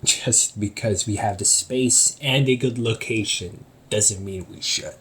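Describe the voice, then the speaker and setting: A man's voice: on the low side, unhurried, fairly bright tone, a little hiss or hum. A young man playing an easygoing shop owner talking to his sibling in a one-sided audio roleplay, teasing and laughing often. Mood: defensive.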